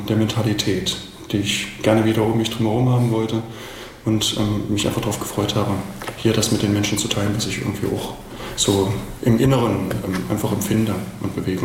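Low-voiced man in a very echoey room. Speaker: interviewee. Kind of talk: spontaneous reflective interview talk. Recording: very echoey room, clear noise.

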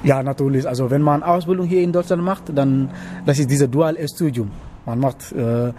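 Halting young man, warm voice. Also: mid-range voice, slight background noise. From Senegal. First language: not German. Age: young adult. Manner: halting, searching for words with many hesitations. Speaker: interviewee, trainee cook. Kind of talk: casual interview.

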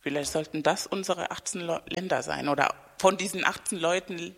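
A co-host of a community radio chat, telling lively stories with plenty of fillers. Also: medium pitch, moderate pace, gravelly, in a large hall. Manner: lively storyteller, many fillers. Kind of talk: casual chat.

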